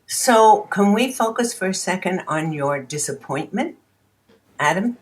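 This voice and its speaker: An actor playing a calm family therapist who asks gentle, probing questions in a measured, reflective way. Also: medium pitch, lightly acted. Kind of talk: naturalistic audio drama dialogue.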